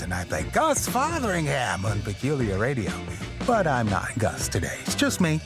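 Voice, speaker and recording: Animated man making a promo over guitar. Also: medium pitch. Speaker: cast member making a support appeal. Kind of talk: friendly scripted promo. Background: guitar music.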